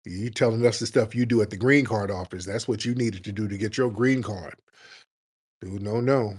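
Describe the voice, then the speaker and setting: Male host, brash and mocking, fast lively delivery. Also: lowish voice, husky. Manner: combative, mocking, animated. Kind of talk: live call-in talk show.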